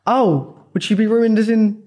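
A man's voice: high-pitched, normal speed, a little mellow. A young man co-hosting a podcast, throwing in dry wisecracks and rambling questions. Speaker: podcast co-host. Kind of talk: casual chat.

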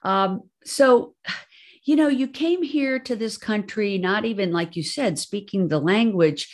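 A woman's voice: medium pitch, measured pace. Upbeat woman podcast host. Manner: upbeat, effusive, with many fillers. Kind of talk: scripted intro then interview chat.

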